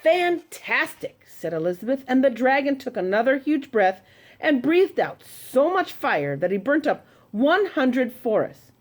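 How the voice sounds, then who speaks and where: A woman telling a children's story to a congregation, expressive and animated. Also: high-pitched, a little slow. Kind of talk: reading a children's story aloud.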